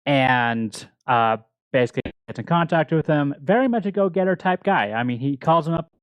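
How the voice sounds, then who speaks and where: A man's voice: a little high, lively intonation, moderate pace, clear, resonant tone, no room sound, highs cut off. A man speaking casually as a book club podcast panelist, retelling and discussing a story.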